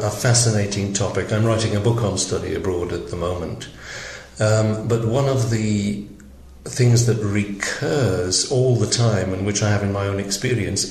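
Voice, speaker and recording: Low-voiced professor guy from wales, thinking out loud, echoey room with faint hiss. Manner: thoughtful, with small restarts. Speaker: professor, interview guest. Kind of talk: interview answers.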